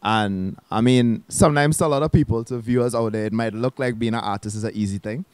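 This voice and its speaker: A man's voice: medium pitch, fast, dry recording. Young trini guy chatting easy on a handheld mic, dry close sound, restarts here and there. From Trinidad and Tobago. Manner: relaxed, reflective, with restarts and local slang. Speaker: guest musician. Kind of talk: casual interview chat. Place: small lounge set with a bar.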